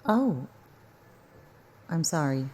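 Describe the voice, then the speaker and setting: An actress playing a blunt, sardonic Irish news reporter in a naturalistic audio drama. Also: female voice, deep voice, sing-song, extremely slow, a little mellow, slight background noise, exaggerated voice. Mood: shocked, apologetic. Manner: dry, sardonic.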